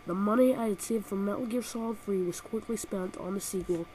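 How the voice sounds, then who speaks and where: A woman's voice: mid-range voice, a little fast, dark tone, a little hiss or hum, low-quality recording. A woman with a cold and a nasal voice, reviewing a video game with sarcastic, exaggerated comic rants, recorded on a built-in laptop microphone. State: has a cold.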